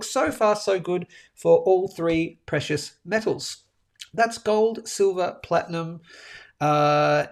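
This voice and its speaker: A man hosting a live chart-analysis show, animated and a bit sniffly, tagging his points with "okay?". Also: on the high side. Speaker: host of a daily live precious-metals show. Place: indoors, a different room than usual.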